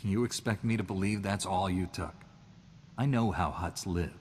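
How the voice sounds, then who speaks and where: A sardonic captain, voiced by a man in a sci-fi video game, with a very deep voice. He delivers dry quips with deadpan calm. A long reverb and faint ambience hang around each line. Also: moderate pace, slight background noise, close to an everyday voice. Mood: wry.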